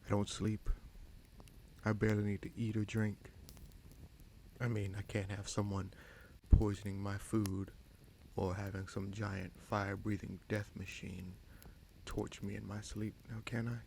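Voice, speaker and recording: A man playing a scheming sorcerer prince in a fantasy audio roleplay, smug and coolly menacing, with a gravelly voice and slow, deliberate, taunting delivery. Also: on the low side, flat delivery, darkish tone, slight background noise. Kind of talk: fantasy audio roleplay, dramatic monologue addressed to listener.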